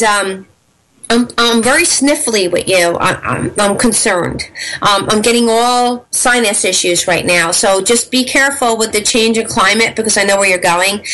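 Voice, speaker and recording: A woman's voice: a little high, fairly bright tone, poor audio. A woman hosting a live call-in psychic show, talking in a rambling, emphatic way with lots of fillers and blunt, casual swearing. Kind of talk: casual live online radio talk.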